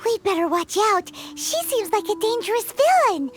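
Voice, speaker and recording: Super high squeaky little pixie, bouncy voice, blunt and chatty, game character. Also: female voice, very animated. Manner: talks about herself in the third person, quick to suspect. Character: tiny floating female pixie companion, chatty and blunt. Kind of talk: video game voice acting.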